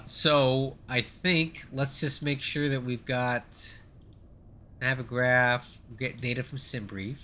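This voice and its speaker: A man's voice: medium pitch, pushed and bright, a little hiss or hum. A middle-aged man with a congested, nasal voice as he gets over the flu, chatting casually on a live stream and thanking viewers as he goes. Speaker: flight sim streamer.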